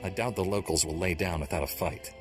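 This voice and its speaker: Man voicing steely ruthless jedi in a game, really low voice, firm and defiant, music playing. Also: a little fast, natural voice. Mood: challenging. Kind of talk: video game dramatic acting. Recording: music behind the voice.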